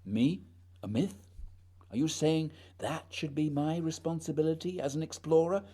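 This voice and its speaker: A man's voice: fairly high pitch, normal speed, warm tone, breathy edge. A man playing a proud, duty-bound polar explorer, solemn and questioning, in a radio play. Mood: doubtful, questioning.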